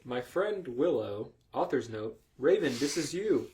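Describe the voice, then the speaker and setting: A man with a middle-pitched voice hosts a casual comedy podcast. He reads aloud in a mock storytelling way and drops in joking asides as he goes. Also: big pitch swings, a little slow, a little mellow, a little hiss or hum, poor audio. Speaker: podcast host reading fan fiction aloud.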